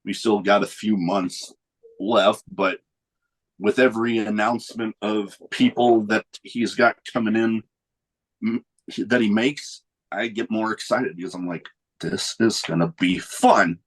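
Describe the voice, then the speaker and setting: A man hosting a podcast, chatting in a relaxed, rambling way and often losing his train of thought. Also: on the low side, a little slow, rough recording. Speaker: podcast host. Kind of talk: casual chat.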